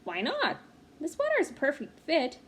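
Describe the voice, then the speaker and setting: A woman's voice: sits high, huge pitch swings, moderate pace, a bit bright, voice pushed up, heavily acted. A woman voicing a proud, practical mother in a storybook, speaking in a firm, matter-of-fact way. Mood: firm, reasoning.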